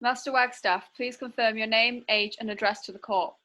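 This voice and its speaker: A woman's voice: on the high side, fairly even intonation, normal speed, sharp tone. A woman playing an officious court clerk in a radio play, formal and commanding. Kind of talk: comic radio play acting.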